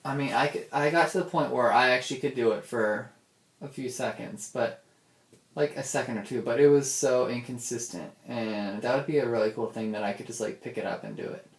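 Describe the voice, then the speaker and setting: A man's voice: medium pitch, a little fast. Young man rambling in a roomy echo. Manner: hesitant, repetitive, self-interrupting with many "like"s. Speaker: audio diarist. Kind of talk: casual rambling audio diary, thinking aloud.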